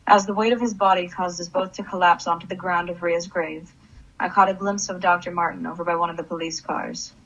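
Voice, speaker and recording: A woman's voice: fairly low pitch, a bit bright. Woman acting intense grieving mom out for revenge, bossy, radio drama, kinda muffled lo-fi mic. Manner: intense, commanding. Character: grief-crazed vengeful mother with a gun, menacing.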